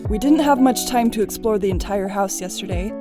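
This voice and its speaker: A woman plays a polite, composed young leader with a medium-pitched voice. She is businesslike, in an audio drama with clear music behind her. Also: brisk, lightly acted. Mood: in charge. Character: composed, polite young female organizer of the group. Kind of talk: fan audio drama acting. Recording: music under the voice.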